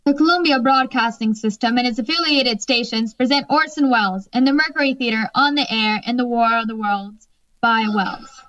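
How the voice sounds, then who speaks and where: A woman playing a formal, measured 1930s radio network announcer in a radio play. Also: on the high side, a bit bright, dull audio, lightly acted. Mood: formal, presenting.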